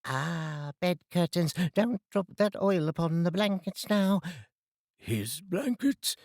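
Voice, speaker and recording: A man voicing a coarse, greedy charwoman in a storybook reading, high and scornful. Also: expressive, slow, darkish tone, no echo, clean studio sound, full character voice.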